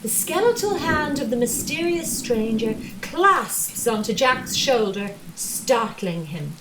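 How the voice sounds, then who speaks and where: A woman's voice: on the high side, very animated, takes it slowly. A woman delivering scripted, dramatic story narration for a radio play. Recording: echoey, distant, loud storm noise.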